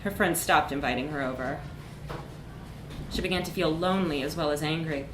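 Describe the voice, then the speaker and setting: A woman's voice: low-pitched, a little fast, echoey room, noisy background. A woman reads a comic short story aloud at a live event, with wry, emphatic narration. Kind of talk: animated literary narration, live reading.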